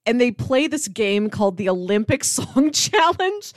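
A woman co-hosting a podcast in casual chat, telling things in an animated way and often laughing as she talks. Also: on the high side, unhurried, no echo, clean studio sound. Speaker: podcast co-host.

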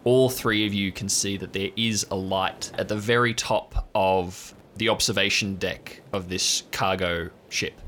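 A man's voice: lowish voice, fairly even intonation, measured pace, few pauses, a bit bright, a little hiss or hum. A man hosting and narrating an improvised audio drama as its game master.

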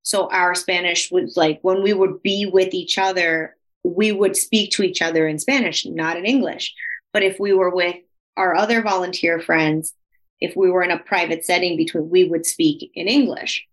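Lively woman telling a story. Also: normal speed, rough recording. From United States. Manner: lively storyteller, slips into Spanish, pitch leaps when quoting. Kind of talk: casual chat.

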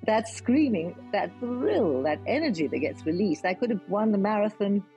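An older woman telling a personal story in a dramatic, emphatic way, with a husky voice. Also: mid-range voice, lively intonation, normal speed, mellow, faint music behind, poor audio. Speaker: discussion participant.

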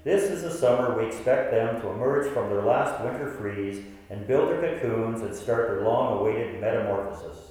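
A man's voice: mid-range voice, animated, moderate pace, hardly any pauses, a little mellow, natural voice. Man acting reflective narrator, distant and echoey. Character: devoted 58-year-old man narrating his long experiment. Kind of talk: scripted first-person radio play narration. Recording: distant, echoey hall with long reverb.

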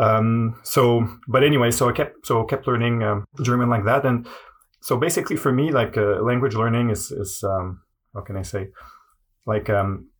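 A man's voice: fairly low pitch, slightly rough. A man from Montreal, a native French speaker with a Quebec French accent, answering interview questions in a hesitant way with many fillers and restarts. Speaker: guest.

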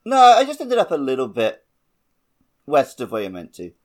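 A man's voice: medium pitch, wildly swooping pitch, normal speed, a little mellow. A man co-hosting a gaming podcast in casual conversation. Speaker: podcast co-host.

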